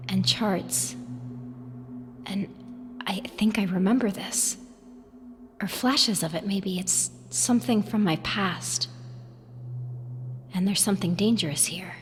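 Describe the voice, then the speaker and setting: An actress playing a frightened, questioning woman in danger in an audio drama, halting and urgent. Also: medium pitch, natural voice.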